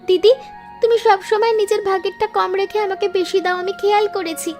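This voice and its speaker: Cartoon younger sister, female actor: tiny, very high voice, sweet, eager, affectionate; music bed. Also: fairly even intonation, fairly quick, a little mellow. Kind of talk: Bengali cartoon moral-story dialogue.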